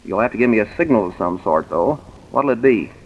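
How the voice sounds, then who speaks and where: Stern, authoritative police commissioner. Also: male voice, fairly low pitch, animated, husky. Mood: insistent, pressing. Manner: authoritative, deliberate. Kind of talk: old-time radio drama acting. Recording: dull, hissy vintage radio recording.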